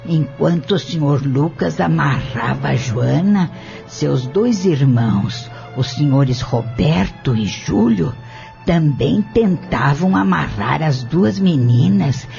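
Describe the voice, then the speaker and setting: An elderly woman witness acted by a man in an audio novel, speaking very slowly with a fairly high pitch for a male voice. The delivery is formal and deliberate, with a creaky edge. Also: expressive, a little mellow, full character voice. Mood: grave. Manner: measured, formal, deliberate testimony. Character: elderly upright woman shopkeeper, firm courtroom witness. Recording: dull lo-fi audio with music bed. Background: music.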